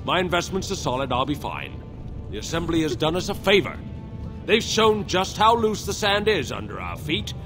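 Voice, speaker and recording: A man voicing a shrewd, gold-minded fantasy dwarf merchant in a video game, blunt and dismissive, with a gravelly voice. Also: fairly high pitch, very animated, normal speed, music in the background. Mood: confident, dismissive. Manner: blunt, dismissive, matter-of-fact. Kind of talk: video game dialogue acting.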